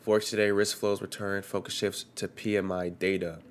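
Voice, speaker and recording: Low, flat-voiced man with a cold. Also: a little fast. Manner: reads market headlines aloud, loose and conversational. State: recovering from a cold, coughing. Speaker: forex trading streamer. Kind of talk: casual live-stream commentary.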